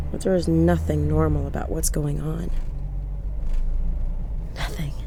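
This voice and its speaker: A woman's voice: low-pitched, noisy background, natural voice. A woman playing a haunted, defiant widow driving alone at night in an audio horror drama, dramatic, brooding and wry. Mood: uneasy, hushed. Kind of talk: audio horror drama acting with first-person narration.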